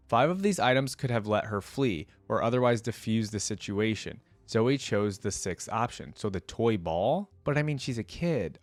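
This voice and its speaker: A man's voice: mid-range voice, no room sound. A man hosting a reaction channel, talking casually as he reads text aloud and comments on it.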